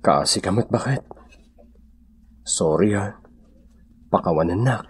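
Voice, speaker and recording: A man with an Ilocano accent playing a sheepish, philandering husband, hesitant and coaxing with a husky voice, in a radio comedy drama. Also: lowish voice, slow, exaggerated voice. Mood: apologetic, pleading.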